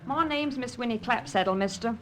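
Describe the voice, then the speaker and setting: Woman playing a shrill, brash Cockney barmaid. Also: a little fast, a bit bright, lightly acted. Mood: brash, scolding. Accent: London Cockney. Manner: scolding, cheeky, ungrammatical back chat. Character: brash, bossy working-class barmaid, thirteen stone, fiercely protective sweetheart. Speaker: actor in a radio crime drama. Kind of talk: old-time radio acting. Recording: noisy vintage radio recording.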